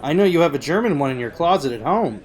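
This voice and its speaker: A man playing a bright, joking high school boy with a casual, quippy manner in an audio drama. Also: expressive, fast talker, lightly acted. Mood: knowing.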